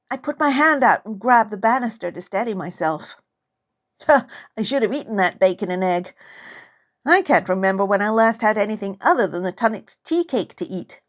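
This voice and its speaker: A woman author narrating a first-person story in character as a child, with expressive, dramatic storytelling. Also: mid-range voice. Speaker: author reading own novel. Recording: music under the voice.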